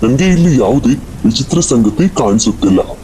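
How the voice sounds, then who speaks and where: A man's voice: medium pitch, expressive, normal speed, warm tone, slight background noise, natural voice, rough recording. A man playing an uneasy, cautious village man in a cartoon horror story, speaking warily. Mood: doubtful. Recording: faint rain and thunder behind voice.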